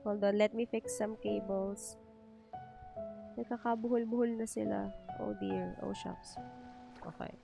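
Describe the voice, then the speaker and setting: A woman's voice: medium pitch, fairly even intonation, unhurried, gravelly, pauses often, over music. A woman with a Filipino accent giving casual, chatty live commentary as she streams a game, thinking aloud. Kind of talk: casual live gameplay commentary in mixed Tagalog and English.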